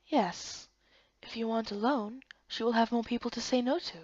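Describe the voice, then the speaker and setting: A woman voicing a calm, soft-spoken, reserved man in a fantasy game, hushed and measured. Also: on the high side, no echo, lo-fi sound, lightly acted. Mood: calm, guarded.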